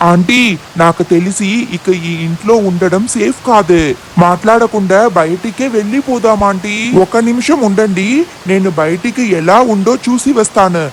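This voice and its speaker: An excitable young boy from a family cartoon , acted out by a performer. He speaks in exclamations at a middling pitch, calling out to the grown-ups. There is some noise behind the voice. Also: normal speed, lightly acted, poor audio. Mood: alarmed, urging. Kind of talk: animated children's story dialogue.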